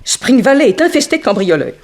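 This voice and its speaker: An actress playing a frank, wry young dancer in an early 1960s French radio mystery, theatrical and gossipy. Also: female voice, a little high, sing-song, a little fast. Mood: matter-of-fact.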